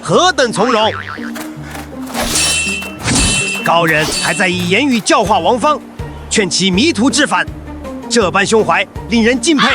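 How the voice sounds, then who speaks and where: An actor playing an earnest, admiring young sect disciple in an animated drama, formal and reverent. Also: male voice, high voice, normal speed. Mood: reverent awe. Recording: music behind the voice.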